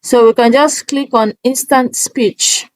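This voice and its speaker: A woman hosting a casual software walkthrough, talking step by step in a halting way and often checking in with an okay. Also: fairly high pitch, steady tone, no room sound, low-quality recording. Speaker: tutorial host.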